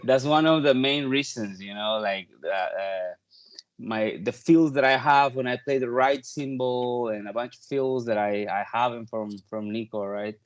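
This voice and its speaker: A man's voice: medium pitch, a little slow. Chatty man from Peru, slightly muffled audio. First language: Spanish. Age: 30s. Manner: chatty, peppered with "like" and "you know". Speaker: interview guest, touring drummer. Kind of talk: casual interview chat.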